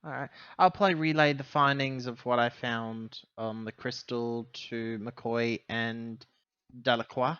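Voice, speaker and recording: A man's voice: mid-range voice, steady tone, a little slow, fairly bright tone, clear, resonant tone, no echo, dull audio. A man chatting casually as a player in a tabletop game, talking through his moves in a wordy, roundabout way.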